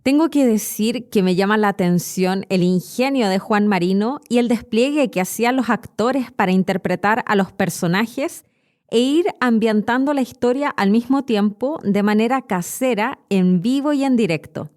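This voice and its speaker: A woman's voice: a little high, moderate pace, a little mellow. A woman in her thirties with a Chilean accent, narrating scripted educational stories expressively as a podcast host. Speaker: podcast host and Spanish teacher.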